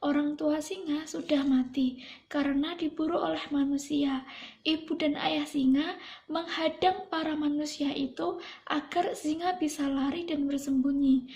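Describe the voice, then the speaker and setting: A woman's voice: high voice, a little mellow. A young woman voicing a firm, patient cow who explains things in a puppet-show fable. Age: young adult. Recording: dull, muffled sound.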